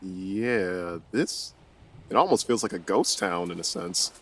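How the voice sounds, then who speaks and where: A man's voice: deep voice, expressive, slow pace. A man playing in a casual tabletop roleplay podcast, hesitant and often repeating and correcting his words. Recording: music under the voice.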